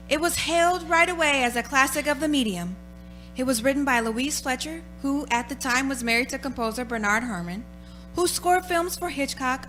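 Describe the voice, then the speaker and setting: A woman's voice: fairly high pitch, sharp tone, poor audio. A woman announcer giving a scripted, formal and dramatic introduction to a radio play.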